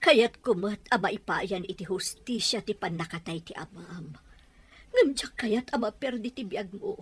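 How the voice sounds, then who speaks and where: A woman's voice: mid-range voice, lively intonation, unhurried, slight background noise, close to an everyday voice. A woman with an Ilocano accent playing an anguished, middle-aged battered wife and fearful, pleading mother in an audio drama. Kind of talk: Ilocano radio-style drama acting.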